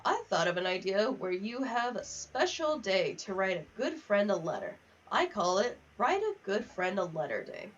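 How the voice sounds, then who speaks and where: A woman hosting a casual gaming livestream. She talks candidly and wryly with plenty of swearing, at a middling pitch. The sound is dull and a little far from the microphone. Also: very animated, moderate pace, hardly any pauses, breathy edge. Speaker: livestream host. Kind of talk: casual gaming livestream chat, reading in-game letters aloud. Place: home office.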